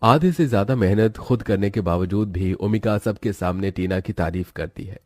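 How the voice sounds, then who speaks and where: A man's voice: lowish voice, normal speed, no echo, studio quality. A man reading scripted narration for a moral story as its narrator.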